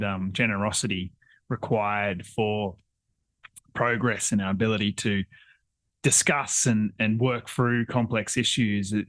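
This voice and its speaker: Young aussie bloke, lowish voice, lots of ums, dry close sound. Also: male voice, fairly bright tone, dry recording. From northern New South Wales, Australia. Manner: hesitant, many ums and restarts. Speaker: environment council coordinator. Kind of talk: casual interview talk.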